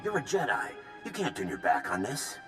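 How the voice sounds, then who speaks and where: Game military officer: duty-bound, sincere, deep and expressive, soft music behind. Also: male voice, moderate pace. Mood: earnest urgency. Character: earnest, duty-bound Republic officer handling a riot. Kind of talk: dramatic video game voice acting.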